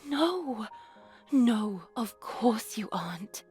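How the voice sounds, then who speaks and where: A woman playing a kind young nun in a visual novel game, soothing and maternal, with gentle hushing. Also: on the high side, very animated, drawn-out words, faint music behind, full character voice.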